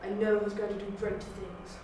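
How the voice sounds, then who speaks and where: A woman's voice: medium pitch, little pitch movement, moderate pace, lightly acted. A woman auditioning for an audio drama as a protective, doting mother standing up for her child. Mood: proud, caring. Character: indignant, doting mother defending her gifted son. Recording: very echoey, distant, noisy room.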